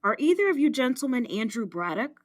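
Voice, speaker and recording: A woman's voice: on the high side, big pitch swings, a little fast. An actress playing a formal, matter-of-fact female police detective in an audio drama. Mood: official, grave.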